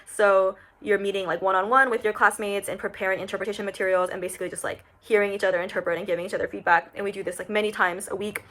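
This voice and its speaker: A woman's voice: medium pitch, flat delivery, very fast, few pauses, a bit bright. A young woman in her twenties, a recent graduate, talking casually to camera with lots of fillers like "um" and "like". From United States. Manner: chatty, many fillers like "um" and "like".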